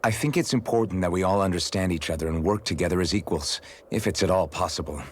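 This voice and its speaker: A man's voice: low-pitched, fast, husky. A man playing an earnest, patient young fantasy hero in a video game. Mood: earnest, persuasive. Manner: earnest, conciliatory.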